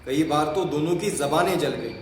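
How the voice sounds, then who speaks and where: A man's voice: a little high, expressive, moderate pace. A man reading scripted story narration for an audio play. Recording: very echoey hall, faint noise.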